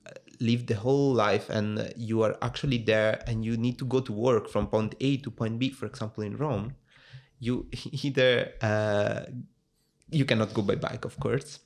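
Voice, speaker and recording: A young man with an Italian accent talks through his story in a relaxed interview. His voice sits low, and he thinks aloud with plenty of ums and self-corrections. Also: moderate pace. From Italy. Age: 20s. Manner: thoughtful, with frequent fillers and restarts. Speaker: guest. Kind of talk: casual interview chat.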